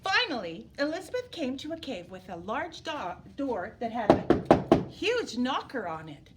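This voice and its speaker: A woman reading a picture book aloud to young children as a storyteller, animated and emphatic, drawing words out for drama. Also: fairly high pitch, unhurried, a little hiss or hum. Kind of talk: expressive children's read-aloud.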